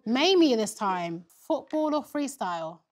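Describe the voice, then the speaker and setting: A young woman hosting a game show in a bright studio, upbeat, with bursts of excited cheering. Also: a little high, measured pace, slight background noise.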